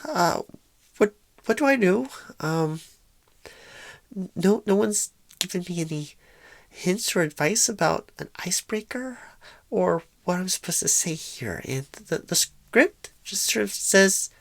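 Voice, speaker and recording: A woman playing a shy, inexperienced, nervous job recruiter in an ASMR roleplay, halting and stammering in a soft-spoken, awkward way. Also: fairly low pitch, expressive, vocal fry, dry recording, heavily acted.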